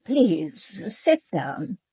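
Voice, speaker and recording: A woman's voice: on the low side, very animated, drawn-out words, dark tone, breathy edge, no echo, exaggerated voice. An actress playing an imperious old aristocratic lady in a radio drama, with a clipped, formal, upper-class English delivery. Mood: formal, dry. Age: older.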